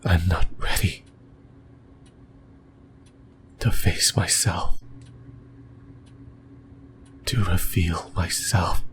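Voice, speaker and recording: A man voicing a lonely, possessive sleep paralysis demon in a creepy, intimate ASMR monologue, whispering breathily and haltingly close to the mic. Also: low voice, fairly even intonation, noisy background. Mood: uncertain, longing. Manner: slow, halting, rambling, intimate. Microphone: close microphone, whispering into the ear.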